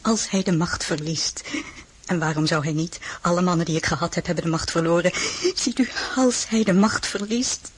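A cast-aside empress in a radio drama, world-weary and fearful. Her voice is extremely husky and breathy, a little low, with a plaintive, dramatic delivery. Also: moderate pace. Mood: pleading, afraid. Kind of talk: Dutch audio drama acting.

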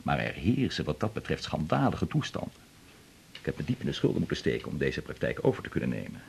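A gravelly, chatty family doctor. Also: male voice, a bass voice, huge pitch swings, quick pace, slight background noise, heavily acted. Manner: chatty, explains at length. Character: established male family doctor, talkative. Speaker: general practitioner. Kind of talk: 1980s Dutch radio drama acting.

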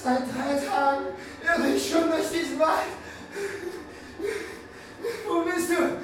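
An actor playing a desperate young man losing his mind in a torture chamber, in a radio drama, with anguished, drawn-out delivery. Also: very high voice, steady tone. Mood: delirious panic. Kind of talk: German radio drama acting. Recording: echoing, distant chamber.

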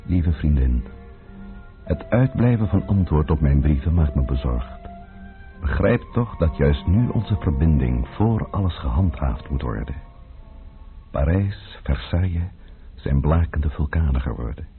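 A lo-fi old radio drama recording with soft music behind. a grave letter writer with an extremely low, crackling voice. His delivery is measured and full of foreboding. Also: expressive, heavily acted. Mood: grave, ominous. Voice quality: gravelly, husky. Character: grave male letter writer warning of revolution and plotting. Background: faint music.

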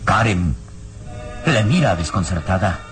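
Music plays clearly behind a man with a fairly low voice. He narrates a radio serial in dramatic, scripted fashion. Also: sing-song, measured pace.

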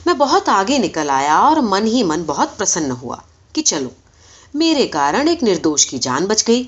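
A woman narrates a story from a script with expressive delivery. Her pitch is mid-range and moves in lively swings. The recording is a little dull and lo-fi. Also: husky. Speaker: audiobook reader.

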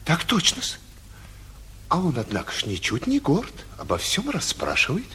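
An obsequious provincial postmaster in an old radio stage comedy. The voice is very husky and swoops dramatically up and down as he eagerly defers. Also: on the high side, wildly swooping pitch, normal speed, pushed and bright, exaggerated voice. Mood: deferential. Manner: obsequious, clipped agreement. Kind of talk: classic Russian stage comedy acting. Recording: muffled, raspy 1949 radio theatre recording.

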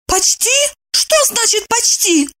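A woman voicing a melodramatic, indignant young woman in an audiobook, shrill and exclamatory as she scolds her friend. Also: expressive, moderate pace, bright, forceful tone, dry recording, full character voice. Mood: indignant, demanding.

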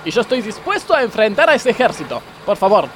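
Man acting a high-voiced, pompous communist boss. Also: very animated, fast, faint music behind. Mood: stern, pompous. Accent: Argentine. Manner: declamatory, doctrinaire, flustered. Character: pompous communist factory foreman, indignant, principled, blind. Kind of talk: comedic radio play acting. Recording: faint background noise.

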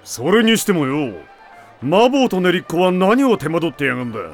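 Boisterous, high-pitched anime dad. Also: male voice, very animated, brisk, faint music behind. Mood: gruff. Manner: rough, hearty, theatrical bluster. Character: boisterous, festival-mad middle-aged father. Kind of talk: anime audio drama acting.

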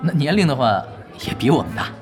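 An actor as a wry, laid-back team leader. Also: male voice, medium pitch, big pitch swings, quick pace, music in the background, natural voice. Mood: patient, amused. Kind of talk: audio drama acting.

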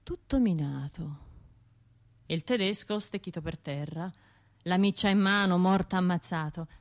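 An actress with a Tuscan accent playing a wounded, self-reproaching bombing survivor in an intimate radio drama monologue, halting and colloquial. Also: female voice, on the low side. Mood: wistful remembering. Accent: Tuscan Italian. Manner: halting, colloquial, shifting between murmurs and outbursts.

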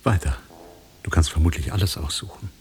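A man plays a wry, brooding radio-play character with a low, dark voice. His lines are dry and understated, delivered as intimate dialogue. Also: a little fast, a little hiss or hum, close to an everyday voice. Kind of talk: German radio drama dialogue.

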